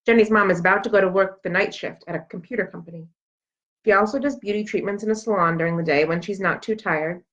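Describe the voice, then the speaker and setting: A woman reading a children's book aloud as an expressive storyteller. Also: medium pitch, fast talker. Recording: dull, lo-fi sound.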